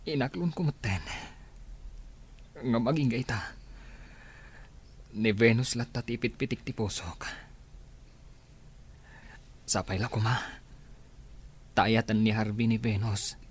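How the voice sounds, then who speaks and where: A man's voice: on the low side, slow, long pauses, husky. A man acting a lovelorn rejected suitor in a Filipino radio drama, earnest and dramatic, with a Filipino accent. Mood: heartbroken, pining. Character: lovelorn rejected suitor still pining for a married woman.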